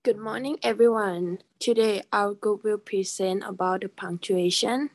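A young woman reads a class presentation as a student. Her voice is of average pitch, with a dry sound free of room echo. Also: fairly even intonation, a little slow, darkish tone. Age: young adult. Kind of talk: scripted class presentation read aloud.

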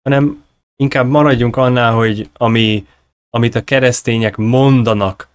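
A man in his thirties hosting a conversational discussion, halting as he searches for words and corrects himself. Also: mid-range voice, fairly even intonation, smooth, no echo, studio quality. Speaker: host, interviewer. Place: small indoor room at home. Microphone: close desk microphone on a video call.

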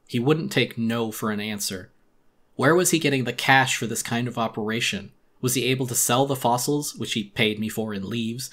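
A man's voice: medium pitch, a bit bright. Brooding male audiobook narrator. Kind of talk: dramatic audiobook narration, first-person horror story.

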